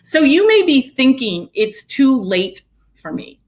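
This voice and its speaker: A woman's voice: high-pitched, sing-song, measured pace. A woman in her fifties co-hosting a webinar, talking to viewers in an upbeat, persuasive way. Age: 50s. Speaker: webinar co-host. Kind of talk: promotional webinar chat.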